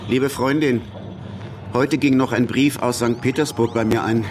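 An actor playing a wry, irritable revolutionary exile speaking a letter in a musing way in a radio drama. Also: male voice, medium pitch, steady tone, normal speed, lightly acted. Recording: distant mic, clear outdoor traffic noise.

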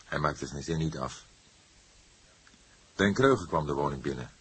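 A man narrating a crime story as an audiobook, reading in a measured, literary manner. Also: extremely low pitch, animated, slightly rough.

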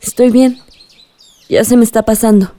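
An actress playing a polite, fragile young granddaughter in a radio drama. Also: female voice, on the high side, fairly even intonation, normal speed, warm tone, lightly acted. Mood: weak, reassuring.

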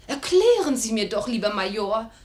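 A woman's voice: a little high, very animated, normal speed, a little mellow. An actress playing a spirited, playful young noblewoman in a classical radio play, with refined, teasing, theatrical delivery. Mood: teasing, insistent.

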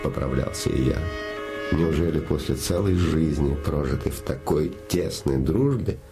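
An actor playing a man's scheming living shadow that poses as a devoted friend, insinuating, solemn and emphatic, in a theatrical fairy-tale play. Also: a bass voice. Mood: smooth devotion. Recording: music behind the voice.

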